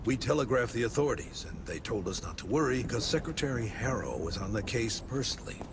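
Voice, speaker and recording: A man playing a pious, fatherly older frontier preacher in a western video game, speaking in a solemn, kindly, measured way. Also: medium pitch, lively intonation, background noise, close to an everyday voice. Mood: wry, fatherly.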